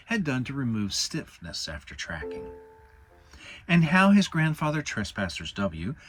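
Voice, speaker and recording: A man's voice: mid-range voice, a bit bright, low-quality recording. A man narrating a children's storybook as a dramatic audiobook reading. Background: music.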